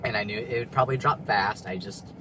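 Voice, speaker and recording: A man's voice: fairly low pitch, brisk, noisy background. Rambling young man vlogging from his car. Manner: rambling, confessional, heavy filler and swearing. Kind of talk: candid personal vlog. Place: car in a parking lot.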